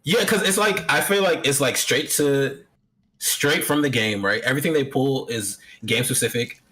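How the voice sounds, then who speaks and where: A man co-hosting a loose, chatty show, his voice bright and forward. He tumbles through his points with fillers and false starts, landing at a middling pitch. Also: husky. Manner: fast, filler-heavy, stumbling restarts. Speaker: co-host. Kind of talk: casual chat.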